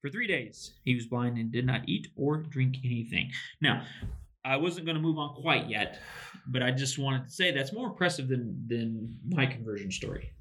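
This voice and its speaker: A man's voice: medium pitch, expressive, normal speed, a bit bright, rough recording. A man casually discussing a Bible story, laughing often and restarting his sentences as he goes. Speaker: podcast co-host.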